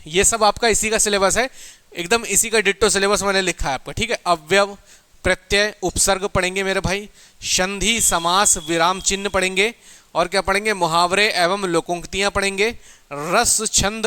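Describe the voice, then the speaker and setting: High-pitched, bright man teaching. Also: clean tone, dry recording. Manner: emphatic, colloquial, asks and answers own questions. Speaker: exam coaching teacher. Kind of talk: informal motivational lecture to students.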